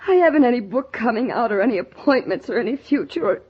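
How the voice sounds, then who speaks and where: A woman's voice: on the high side, animated, fast, breathy edge. An actress playing an earnest, lovelorn young small-town woman in an emotional, breathless 1940s radio drama style. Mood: regretful, yearning. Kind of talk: 1940s radio drama acting with first-person narration. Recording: muffled 1940s radio broadcast recording.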